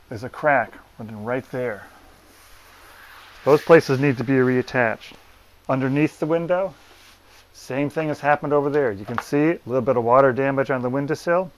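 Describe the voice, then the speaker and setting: Man, mid-pitched voice, practical tradesman presenter, hands-on explanation, plain wording, deliberate pauses, indoor room. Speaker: plaster repair business owner and presenter. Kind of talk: hands-on walkthrough explanation to camera. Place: room inside an old house.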